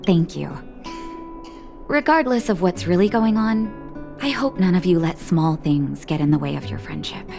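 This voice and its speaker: An actress playing a calm, caring woman doctor in a video game, measured and gently admonishing, with a soothing way of talking. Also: fairly low pitch, vocal fry. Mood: quietly concerned. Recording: guitar music behind the voice. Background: plucked guitar music.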